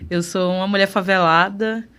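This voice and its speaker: A woman in her thirties from Recife, talking as a podcast guest in a lively, warm way with emphatic stresses. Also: mid-range voice, moderate pace, clear, resonant tone. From Recife, Pernambuco, Brazil. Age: 30s. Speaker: guest, city councillor. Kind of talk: casual interview.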